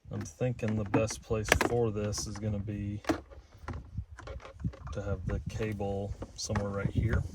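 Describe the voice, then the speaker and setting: A man casually talking through a hands-on install, halting and thinking out loud as he works. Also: fairly low pitch. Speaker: DIY vehicle install presenter. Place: in and around a parked pickup truck. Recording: noisy vehicle sound with clicks.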